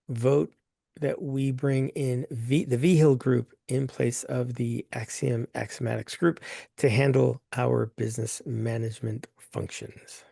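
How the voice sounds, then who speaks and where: A hoarse man chairing a board meeting, talking plainly with many fillers and restarts. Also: mid-range voice, warm tone, no echo, clean studio sound. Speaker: governing council chair.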